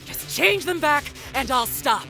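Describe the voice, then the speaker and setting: Audio drama heroine, played by a woman: defiant eldest sister, sharp forceful voice, very animated, sardonic. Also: high voice, a little slow, gravelly, faint music behind, exaggerated voice. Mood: furious. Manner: blunt, sardonic, combative. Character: defiant, bitter firstborn daughter fighting for her siblings.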